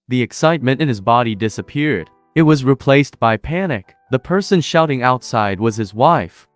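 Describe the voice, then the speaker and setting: A man reading scripted narration for an animated story in a steady, even storytelling manner. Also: medium pitch, no room sound. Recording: dry voice-over with faint music.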